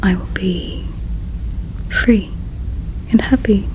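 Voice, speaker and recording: A woman playing a gentle, caring alien in an ASMR roleplay, speaking in a slow, hushed, tender and wistful way with a breathy quality. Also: mid-range voice, natural voice, poor audio. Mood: wistful, reflective. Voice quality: breathy whisper with creaky edges. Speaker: ASMR performer. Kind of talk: ASMR roleplay, whispered and soft-spoken.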